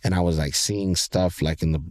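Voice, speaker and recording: A man with a nasal voice, a rapper talking loosely as a guest, animated and full of swearing and fillers. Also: sits low, fairly quick. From Ontario, California. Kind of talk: casual interview chat.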